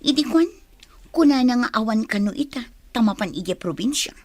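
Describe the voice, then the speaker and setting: An actress playing a puzzled, inquisitive daughter in an Ilocano radio drama, with an Ilocano accent. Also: female voice, fairly high pitch, lively intonation, brisk, a little mellow, no room sound. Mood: puzzled, suspicious.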